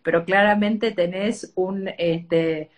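A woman with a Rioplatense accent hosting an interview, speaking gently and hesitantly with many fillers. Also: on the low side, fairly even intonation, slow. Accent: Rioplatense Spanish. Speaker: interviewer, host. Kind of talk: interview, conversational.

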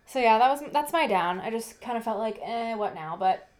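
A woman's voice: on the high side, expressive, a little fast, a crowd in the background. Chatty young woman rambling on. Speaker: podcast co-host. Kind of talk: casual chat.

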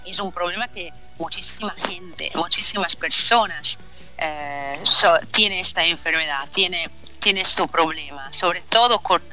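Italian lady singer on the radio, bright sharp voice, stops and restarts a lot, soft music underneath. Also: on the low side, a little slow, rough recording. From Italy. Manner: hesitant, searching for words, many restarts. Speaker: singer, interview guest. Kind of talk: radio interview chat. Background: faint background music.

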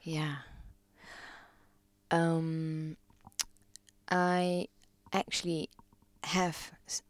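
A woman, a podcast guest reflecting on her life in a slow, hesitant way, pausing often. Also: low-pitched, little pitch movement, clean tone, no echo. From Malaysia. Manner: slow, hesitant, many pauses and ums, soft-spoken. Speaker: podcast guest, gong practitioner. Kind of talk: reflective personal interview talk.

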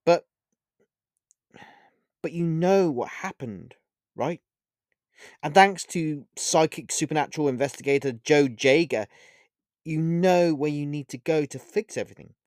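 A man voicing a centuries-old male vampire husband who talks in a flippant, coaxing, lively way. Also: fairly high pitch, unhurried, pauses often, no echo, studio quality, pitched above the performer's own voice, natural voice. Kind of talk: storybook character voice.

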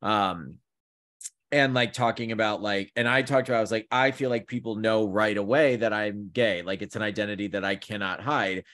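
A man in his thirties co-hosting a podcast, telling stories in an animated, chatty way with lots of fillers. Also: fairly low pitch, moderate pace. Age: 30s. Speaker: podcast co-host; counseling student. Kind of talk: casual chat.

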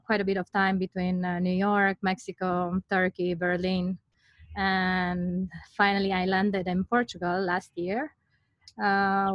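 A woman talks through her story at a middle pitch, relaxed and chatty with fillers. The recording is dry and close. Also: no room sound, muffled recording. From Romania. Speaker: community organizer, podcast guest. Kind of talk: casual interview chat.

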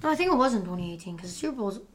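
A woman hosting a casual sports podcast, talking loudly and excitably and teasing her guest. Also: medium pitch, very fast, a little hiss or hum. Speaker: podcast host. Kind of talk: casual sports picks chat.

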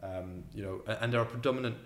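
A man's voice: lowish voice, fast talker. A man who co-owns a restaurant, answering interview questions in a casual, hesitant way with repeated words and ums. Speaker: restaurant co-owner, guest. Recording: roomy echo.

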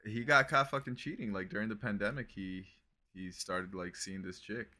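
Bright-voiced young man, loose rambling chat. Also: fairly low pitch, moderate pace. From Brazil. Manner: relaxed and rambling, swearing, lots of "bro" and "like". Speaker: podcast co-host. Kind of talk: casual chat.

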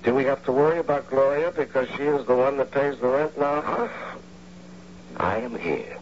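A man's voice: mid-range voice, moderate pace, natural voice. An actor playing a drunken, scheming engineer in an old-time radio drama, insistent and grandiose as he talks a friend round. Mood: needling, insistent. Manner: emphatic, grandiose, insistent persuader. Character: drunken, scheming unemployed engineer talking his friend into crime. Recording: dull, noisy old radio recording with hum.

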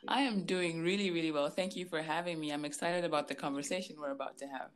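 A woman from Zambia in her thirties talks as a guest in a relaxed interview. Her voice sits low. She laughs easily and doubles words for emphasis. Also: quick pace. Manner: warm, laughs often, repeats words for emphasis. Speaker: guest life coach. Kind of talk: casual interview chat.

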